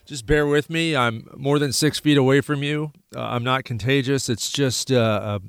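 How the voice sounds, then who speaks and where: A man's voice: medium pitch, normal speed, smooth, no echo, clean studio sound. Stuffy-nosed male radio host. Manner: rambling, many fillers and restarts. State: stuffy nose. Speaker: radio talk show host. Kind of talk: casual radio talk. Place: radio studio.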